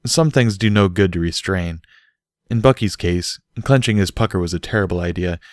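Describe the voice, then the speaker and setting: A man's voice: sits low, moderate pace, no room sound, clean studio sound. A man reading a story aloud as scripted audiobook narration. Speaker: audiobook reader.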